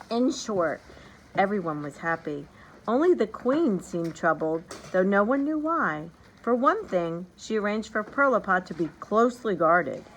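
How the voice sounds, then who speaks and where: A woman reading a children's story aloud in an animated, expressive, emphatic storytelling manner. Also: on the low side, rough recording. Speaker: storybook reader. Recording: background noise behind the voice.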